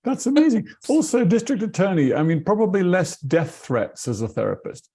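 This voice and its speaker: A man from England hosting a video call interview, halting and repeating himself as he asks questions. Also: sits high, big pitch swings, dark tone, breathy edge. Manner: halting, repeats words, chuckles often. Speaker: interviewer, board member. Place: home in England.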